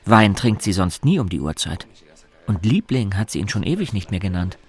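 Low-voiced male narrator. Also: sing-song, normal speed, breathy edge, soft background music. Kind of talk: scripted radio drama narration.

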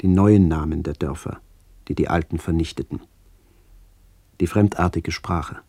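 A man acting in a radio play as a brooding narrator haunted by memories of his lost village, speaking in reflective, halting phrases. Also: sits low, dark tone, slightly rough, no room sound.

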